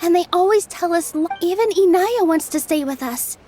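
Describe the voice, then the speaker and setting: A woman voicing a gentle, earnest young dancer in an anime video game, speaking politely. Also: very high voice, animated, moderate pace, slight background noise.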